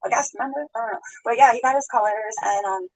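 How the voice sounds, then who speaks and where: A woman's voice: fairly high pitch, fast talker, dry recording, rough recording. A woman chatting on a live stream, rambling, greeting viewers and laughing often, in playback with altered speed. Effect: sped-altered playback. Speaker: livestreamer. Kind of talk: casual live-stream chat.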